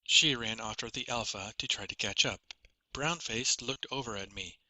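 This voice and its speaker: A man's voice: mid-range voice, moderate pace, bright, forceful tone, no room sound, rough recording. A man reading a first-person fantasy story as a scripted audiobook narration.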